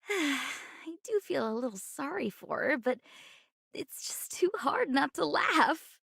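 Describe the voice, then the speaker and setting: A woman's voice: sits high, sing-song, slow, vocal fry, fairly bright tone, no echo, clean studio sound, full character voice. An actress playing an amused but sympathetic young woman gossiping in an anime game. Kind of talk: English anime game voice acting.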